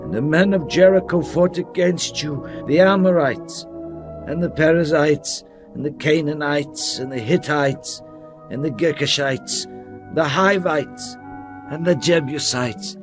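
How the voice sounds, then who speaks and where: A stately, commanding leader addresses the gathered tribes. The delivery is solemn and measured, in the manner of a dramatized scripture. Clear music runs behind the voice. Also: male voice, fairly high pitch, lively intonation.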